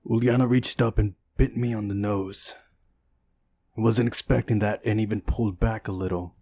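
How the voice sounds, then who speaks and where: A man with a fairly low voice reads through a visual novel as a let's play host. He slips between narration and incredulous, exasperated commentary, with game music faintly behind him. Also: steady tone, normal speed, mellow. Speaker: video game playthrough host.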